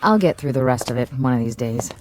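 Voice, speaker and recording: A low, mellow uneasy assistant. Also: female voice, lively intonation, fast talker, slight background noise, poor audio. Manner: quiet, thoughtful, uneasy. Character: uneasy female assistant code breaker. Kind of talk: radio drama acting.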